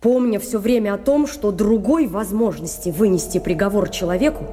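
An actress playing a grave, principled jurist in a radio drama, quietly firm in what she says. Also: mid-range voice, normal speed, natural voice. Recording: reverberant radio play, faint music.

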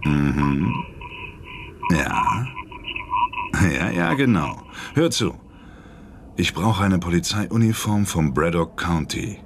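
An actor playing a slyly confident, menacing criminal in a German radio drama, drawling and smugly commanding. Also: male voice, deep voice, expressive, slow, pauses often, background noise. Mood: smugly threatening.

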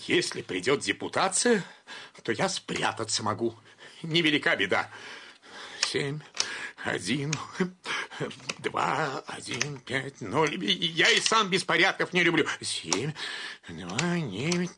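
A querulous, ailing bookkeeper in a theatrical radio comedy. His highish, creaky voice is sharp and cracked, grumbling scornfully with big swings of pitch. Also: big pitch swings, slow, long pauses, background noise, exaggerated voice. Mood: muttering, irritated. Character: cranky, sickly, woman-hating bank bookkeeper.

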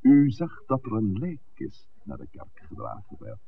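An actor playing a wry, persuasive village priest in a 1960s radio drama, speaking in a measured, articulate, lecturing way. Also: male voice, fairly low pitch, wildly swooping pitch, creaky, husky, heavily acted. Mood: earnest, explanatory. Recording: muffled, hissy 1960s radio recording.

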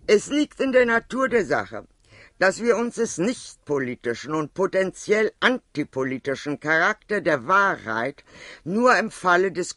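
Male lecturer, slightly high register, scripted academic address, careful and exact phrasing, very little room sound. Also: fairly bright tone, no echo, clean studio sound. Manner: measured, formal, precise. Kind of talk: formal scripted philosophical lecture.

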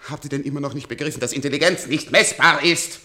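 A man's voice: a little high, fast talker, bright, forceful tone. An actor playing a very old, sardonic man in a science-fiction radio play, weary and defiant in manner. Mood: agitated accusation. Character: bitter, sardonic very old man kept alive as an experiment.

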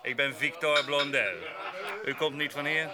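A man's voice: a little high, steady tone, moderate pace, crowd noise, close to an everyday voice. A male actor playing a sociable, jovial worker at table in a Dutch radio drama, given to exclaiming. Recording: crowded room noise.

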